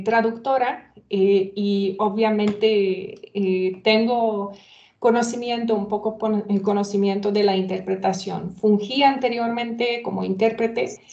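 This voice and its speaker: A woman's voice: on the high side, mellow, echoey room. A woman whose first language is Hungarian, giving welcome remarks at a university event in Spanish with many hesitations and self-corrections.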